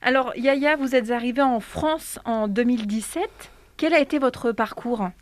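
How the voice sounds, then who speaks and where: Higher-voiced woman hosting a radio interview. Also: normal speed, no echo. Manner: hesitant with many fillers when asking questions. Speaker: radio host.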